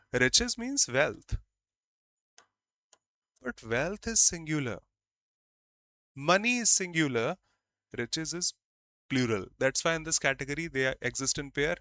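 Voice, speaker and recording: A man's voice: a little high, bright, forceful tone, no room sound. A man from India, a Hindi speaker, teaching an online grammar class and stressing key words by repeating them.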